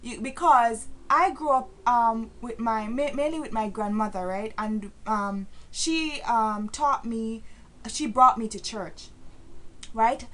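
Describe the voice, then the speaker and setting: Jamaican woman vlogger, slightly high, earnest, hesitant with fillers, builds to preachy emphasis. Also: slow pace, fairly bright tone. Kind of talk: casual talk to camera.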